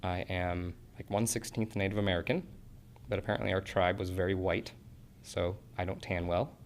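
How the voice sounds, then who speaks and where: A man's voice: deep voice, level tone, a little fast, a little hiss or hum. A young man in his twenties from Portland, talking earnestly about acting school in an interview, rambling with fillers and restarts. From Portland, Oregon. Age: 20s. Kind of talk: casual interview answers.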